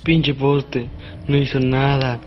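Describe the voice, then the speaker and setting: Man as lovelorn Mexican guy, foul-mouthed, self-pitying, mid-pitched, fan comic dub, faint music. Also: flat delivery, takes it slowly, lo-fi sound, natural voice. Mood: grumbling. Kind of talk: fandub comic voice acting.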